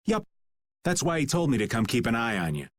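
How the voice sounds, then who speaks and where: A man voicing a laid-back, wry middle-aged rogue in an animated role-playing video game. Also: mid-range voice, brisk. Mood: wry, casual. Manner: casual, wry, refers to himself by name. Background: faint background music.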